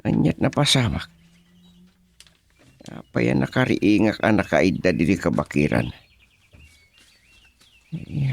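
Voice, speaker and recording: An actor playing a bewildered man lost in a forest in a radio serial, with a Filipino accent and drawn-out, exclamatory speech. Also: low-pitched, vocal fry, a bit bright, heavily acted.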